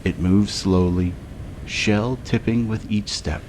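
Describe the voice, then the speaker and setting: A man narrating a scripted guided meditation in a measured way, with long pauses between short phrases. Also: lowish voice, steady tone, background noise.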